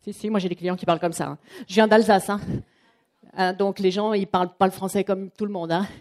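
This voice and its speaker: A woman runs a training workshop for a room of people. She talks in a chatty, lively way and acts out what her clients say. Her voice is mellow, and her pitch sits in the middle. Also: fairly quick. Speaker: trainer and coach. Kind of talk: live workshop presentation.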